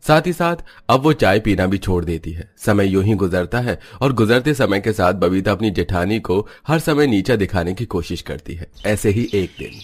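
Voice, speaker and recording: Male narrator, fairly low pitch, scripted storytelling, cartoon tale. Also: lively intonation, moderate pace, hardly any pauses.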